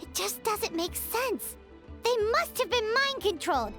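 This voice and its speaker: Super high squeaky little fairy sidekick, cheeky, all over the place, game music. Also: female voice, very animated, unhurried, fairly bright tone, exaggerated voice. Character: tiny childlike female fairy companion, cheeky, blunt. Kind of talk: video game voice acting.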